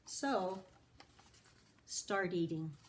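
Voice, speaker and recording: A woman voicing a wise old village witch in a storybook, measured and firm. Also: lowish voice, slight background noise. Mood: stern.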